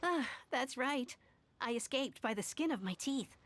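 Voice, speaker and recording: A woman's voice: high voice, expressive, a little slow, fairly bright tone, dry recording. A woman voicing an earnest young refugee in a video game, telling and explaining things in carefully articulated sentences. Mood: reflective. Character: earnest young female refugee from a closed island nation. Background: game music.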